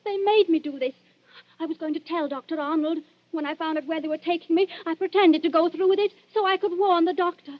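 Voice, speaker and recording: On a dull old radio recording, a young woman with a very high voice. She is defiant and insistent, pleading emotionally in the manner of 1940s radio melodrama. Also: a little fast. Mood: frightened, pleading. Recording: dull vintage radio broadcast.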